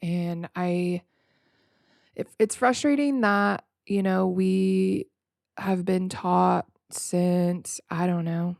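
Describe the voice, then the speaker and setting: A woman hosting a podcast alone in a casual, freestyle way, slightly nasal, reflective and rambling, often halting and repeating words. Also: on the low side, fairly even intonation, gravelly, darkish tone, no echo, studio quality. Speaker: podcast host.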